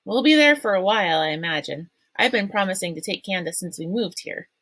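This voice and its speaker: A woman voicing a cheerful, sociable mother in a storybook reading, lifting her pitch high and bright. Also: expressive, brisk, no echo. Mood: breezy. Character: cheerful breezy mother, a novelist.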